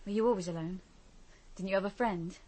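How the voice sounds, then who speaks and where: A woman's voice: mid-range voice, fairly even intonation, fairly quick, a little mellow, close to an everyday voice. An actress playing a wide-eyed, anxious young woman in a radio drama. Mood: wondering, curious.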